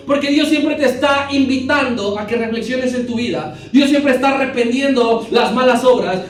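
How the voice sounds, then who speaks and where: A man with a very high voice teaches a Bible class, explaining each verse and turning to his listeners with questions. His voice rings through a hugely echoing hall, picked up from some distance. Faint music sits underneath.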